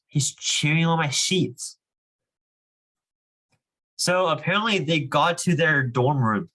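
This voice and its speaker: Slow-paced young man leading a kids' reading club. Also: medium pitch, fairly bright tone, low-quality recording. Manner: informal, frequent "like" and "you know". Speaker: student reading club leader. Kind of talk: casual explaining and reading aloud to children.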